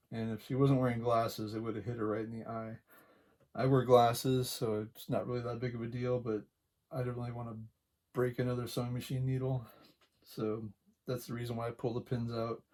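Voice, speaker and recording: A quiet-voiced man giving a casual, unhurried hands-on tutorial, talking along as he works, with a gravelly voice. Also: mid-range voice, little pitch movement. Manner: unhurried, talks along while working. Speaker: craft tutorial host.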